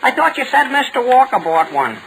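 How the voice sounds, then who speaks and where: A man's voice: very high-pitched, sing-song, a little fast, exaggerated voice. A man playing a hapless, blundering teenage boy with an American accent, a cracking voice and a hesitant, stammering manner, in 1940s radio comedy style. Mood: nervous, bumbling. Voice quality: cracking, creaky adolescent voice. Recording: hissy vintage 1940 radio recording.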